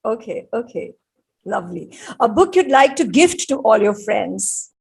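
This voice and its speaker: A woman hosting an interview, asking rapid-fire questions with giggly, encouraging reactions. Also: fairly high pitch, big pitch swings, gravelly, a little mellow, husky. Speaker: interview host.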